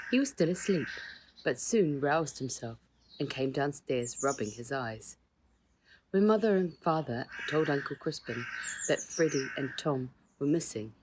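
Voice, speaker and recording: A woman reading her own children's story aloud in a measured way, with dramatic stresses in the dialogue. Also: sits low. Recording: noticeable background noise.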